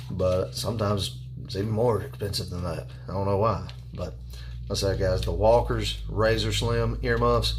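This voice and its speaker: A man giving a casual, unscripted product review in a halting, plainspoken and repetitive way. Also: lowish voice. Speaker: product reviewer and firearms instructor. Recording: clear background noise.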